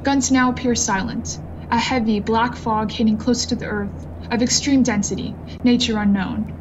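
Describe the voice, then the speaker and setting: A bomber pilot, played by a woman, reporting formally and crisply in a radio drama. The voice is somewhat high and dull, with clear engine noise around it. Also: steady tone, normal speed, natural voice. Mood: businesslike, tense. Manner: formal, clipped military reporting. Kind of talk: radio play acting. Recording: dull recording under loud engine noise.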